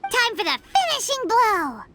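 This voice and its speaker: A woman voicing a small, cheeky, childlike sprite companion in a video game, squeaky and excitable. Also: normal speed, a little hiss or hum, exaggerated voice. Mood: gleeful. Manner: excitable, blunt. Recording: loud music over dialogue. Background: loud tinkling game music.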